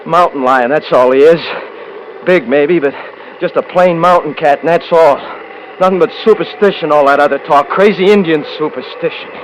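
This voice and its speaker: An actor playing a domineering, scornful eldest-brother rancher in a 1950s radio drama, with folksy Western speech. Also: male voice, on the high side, moderate pace, lightly acted, poor audio. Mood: brooding, wary. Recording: hissy 1950s radio recording.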